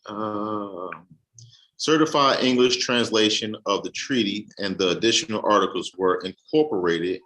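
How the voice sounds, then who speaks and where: A man giving a casual explanatory talk, reading a text aloud with halting restarts. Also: fairly low pitch, a bit bright, low-quality recording. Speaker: host.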